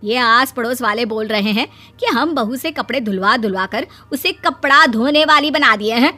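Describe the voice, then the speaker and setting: Casting: actress as proud scolding cartoon mother-in-law, high-pitched. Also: normal speed, pushed and bright. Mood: offended, angry. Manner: scolding, haughty. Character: bossy, proud, easily offended village mother-in-law. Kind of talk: cartoon story character voice.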